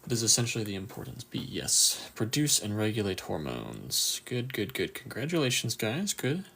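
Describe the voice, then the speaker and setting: A man with a croaky, just-woken-up voice runs a casual live quiz for anatomy students, reading answer options and cheering on viewers' replies. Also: on the low side, very animated, normal speed, pushed and bright, slight background noise. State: just woke up, a bit tired. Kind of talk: casual livestream quiz review.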